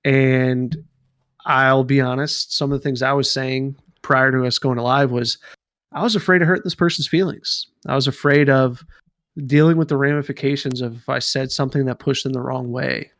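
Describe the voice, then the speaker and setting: A man in his thirties with a gravelly, fry-edged voice rambles through a casual podcast chat, stacking fillers and restarting his sentences. He sits close to a dry mic, at an ordinary middle pitch. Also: steady tone, normal speed, fairly bright tone, dry recording. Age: 30s. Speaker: podcast co-host.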